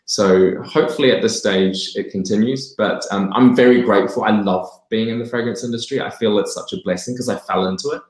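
A man in his forties with a New Zealand accent, on a video call from his home. He talks in a quick, eager way with a slightly low voice. His words ring off a roomy, echoey room. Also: darkish tone. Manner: enthusiastic, quick, laughs often. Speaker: fragrance brand co-founder, guest. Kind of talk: casual interview over video call. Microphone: video call webcam mic.

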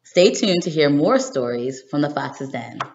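A woman in her thirties, a teacher doing an expressive read-aloud for children, recorded indoors on a webcam. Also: low-pitched, a little slow, slight background noise. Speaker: teacher reading a picture book to children. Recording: dull, roomy home webcam recording.

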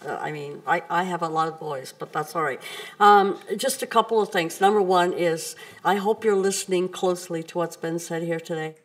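An older woman with a somewhat low voice speaks informally to a council. She is blunt and folksy, feeling her way through her points in a halting manner. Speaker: community group speaker. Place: council chamber, indoors, seated at a table.

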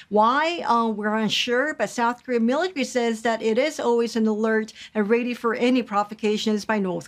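A woman in her fifties giving a live correspondent's report in a measured, explanatory way. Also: fairly high pitch. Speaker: news correspondent.

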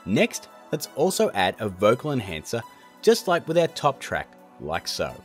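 A man hosting a software tutorial in a casual, chatty, jokey narrating style. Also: mid-range voice, wildly swooping pitch, moderate pace, soft background music. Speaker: tutorial host.

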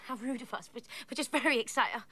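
A woman playing a polite, determined young English hen who leads the others in an animated film. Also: on the high side, fast talker. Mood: polite, hopeful. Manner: polite, earnest, eager.

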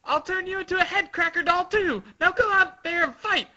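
A man's voice: a little fast, pushed and bright. A man voicing a bratty, imperious villain girl from a comic story, recorded on a dull lo-fi microphone. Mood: furious, bossy. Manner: shrill, imperious outbursts. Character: bratty, furious villain girl with toy-making powers.

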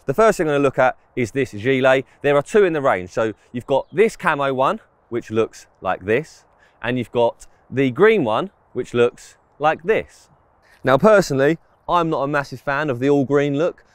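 A man's voice: medium pitch, clear, resonant tone, dry recording. A man, giving a casual, plain-spoken product review to camera, outdoors on a close mic. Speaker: presenter of fishing clothing reviews. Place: outdoors in an open grass field.

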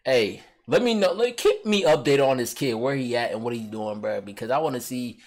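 A man's voice: medium pitch, animated, brisk. A young American man giving a casual, slangy, exclamatory reaction commentary as a video host. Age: young adult. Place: small indoor room. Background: loud music from the video being watched.

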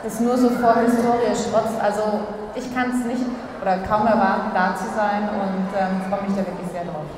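A woman's voice: medium pitch, moderate pace, few pauses. A woman in her thirties telling stories with enthusiasm as a guest on stage, into a handheld mic in a sports hall. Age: 30s. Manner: lively, enthusiastic storytelling. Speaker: athlete guest. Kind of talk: stage interview. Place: large sports hall, indoors. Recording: distant echoey hall with crowd noise.

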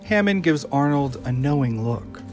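A man narrating the scene directions of an audio drama in an even, descriptive manner. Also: mid-range voice, normal speed, faint music behind. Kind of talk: scripted narration of stage directions.

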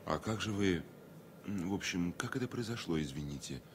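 An actor playing a romantic, reserved engineer in a radio drama, polite and a little hesitant. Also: male voice, low voice, lively intonation, a little fast, slight background noise. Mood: polite, curious. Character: romantic, reserved male safety engineer in love. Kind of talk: Soviet radio drama acting.

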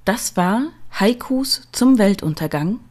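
A woman's voice: medium pitch, expressive, takes it slowly, darkish tone. A woman reading poetry and announcements as scripted narration. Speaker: podcast announcer and poem reader. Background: music.

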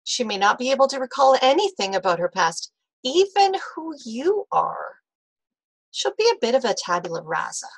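A kindly veteran nurse in a radio mystery played by a woman, frank and reassuring. Her slightly high voice moves in lively swings. The sound is dry. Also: normal speed, creaky, husky, no echo, full character voice, rough recording. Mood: grave, careful. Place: indoor video call.

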